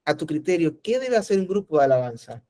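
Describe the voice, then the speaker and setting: A man's voice: on the high side, sing-song, quick pace, dark tone. A male host asking a guest thoughtful, probing questions in a casual interview.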